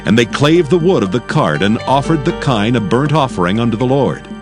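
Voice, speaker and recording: A man narrating scripture for an audio drama in a solemn, deliberate storytelling manner. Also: mid-range voice, lively intonation. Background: music.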